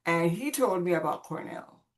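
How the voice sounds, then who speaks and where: Expressive Caribbean-born woman, lower-pitched voice. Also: moderate pace. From Caribbean, born on Saint Croix, raised in Puerto Rico. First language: Spanish. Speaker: podcast guest. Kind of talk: conversational life storytelling.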